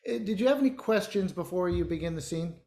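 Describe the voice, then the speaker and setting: Halting, condescending theater director. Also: male voice, sits high, expressive, creaky, warm tone. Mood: smooth, patronizing. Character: brusque, condescending male theater director at an audition. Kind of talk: naturalistic virtual stage acting.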